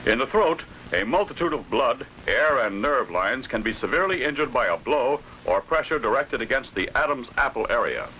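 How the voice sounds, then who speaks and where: A forceful American man narrates an old instructional film in a formal, authoritative manner. His pitch moves in lively steps as he carefully enunciates each phrase, over a noisy vintage soundtrack. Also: moderate pace, hardly any pauses.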